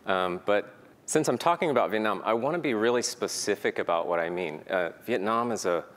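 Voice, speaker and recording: A man's voice: on the low side, expressive, fairly quick, fairly bright tone. A man giving a prepared conference talk, earnest and peppered with "um" fillers. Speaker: conference speaker. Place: conference hall. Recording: reverberant hall, faint noise.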